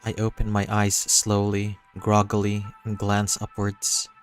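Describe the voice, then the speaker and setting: A man reading a visual novel as dramatic first-person narration, pausing between short phrases. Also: on the low side, monotone. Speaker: reader voicing a visual novel. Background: music.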